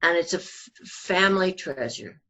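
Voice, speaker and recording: A woman's voice: fairly low pitch, lively intonation, normal speed, sharp tone, poor audio. An older woman talking casually and fondly as an interview guest, sharing memories. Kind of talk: fond reminiscing in a casual interview.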